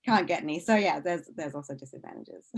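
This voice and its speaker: A woman's voice: deep voice, animated, brisk, vocal fry, slightly rough. An Australian woman giving casual, informal interview answers, chatty and often restarting her sentences with plenty of "like". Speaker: interview guest, science news CEO.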